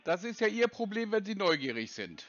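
Scam-baiter, male, dry and stubborn, lively pitch, muffled phone audio. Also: a little fast. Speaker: scam-baiter taking a call. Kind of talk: phone prank call.

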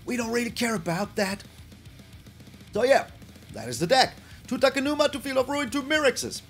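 A young man hosting a card game channel, energetic and emphatic, his voice a little hoarse from a long stream. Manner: hyped, emphatic, swings between loud hype and low asides. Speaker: gaming channel host.

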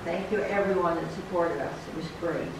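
A middle-aged woman speaks chattily and enthusiastically to a congregation from a pulpit. Her voice carries through a very echoey wooden sanctuary. It is picked up from a distance, with steady background noise. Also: on the low side, a little fast. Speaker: church member giving an announcement. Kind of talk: casual announcement to a congregation. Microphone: stand mic at the pulpit, far camera.

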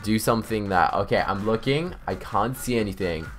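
Low-voiced young man livestreaming a game. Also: fairly quick, soft background music. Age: young adult. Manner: chatty, thinks out loud. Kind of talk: casual live gaming commentary, reading chat aloud. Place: indoors, small home room.

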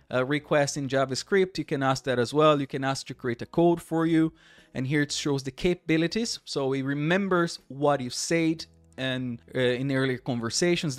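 A man's voice: on the high side, no echo. A man in his thirties with a Portuguese accent, hosting a chatty screen-share tutorial, often repeating and restarting words. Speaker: tech tutorial host.

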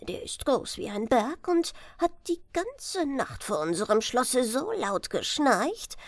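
Woman voicing a solemn, high-pitched flower knight. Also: sing-song, takes it slowly, no room sound, studio quality, full character voice. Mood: formal reporting. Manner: solemn, ceremonious. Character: chivalrous larkspur flower knight, a formal male palace guard. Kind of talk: fairy-tale storybook reading.

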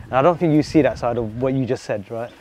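Mellow, reflective male interviewer. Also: mid-range voice, quick pace, a little hiss or hum. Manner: reflective, hesitant with fillers. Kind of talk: casual interview.

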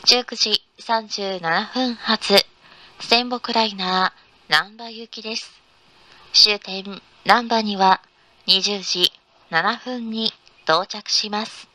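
A woman's voice: mid-range voice, takes it slowly, sharp tone, dry recording. A woman reading a scripted in-car train announcement in a formal, polite manner. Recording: noisy train ambience recording.

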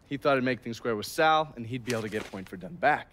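A man's voice: mid-range voice, expressive, fast talker, fairly bright tone, a little hiss or hum, close to an everyday voice. A man playing a wry, foul-mouthed intelligence man in a video game, telling things in a sardonic storytelling way. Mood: wry, amused. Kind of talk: video game cutscene acting.

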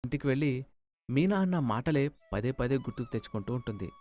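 Male moral-story narrator, medium pitch. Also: lively intonation, fairly quick, dark tone.

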